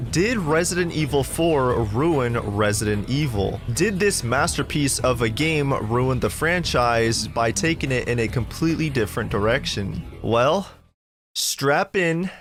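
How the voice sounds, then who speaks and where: A man hosting a gaming channel, delivering emphatic scripted commentary straight to his viewers. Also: mid-range voice. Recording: music under the voice. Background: background music.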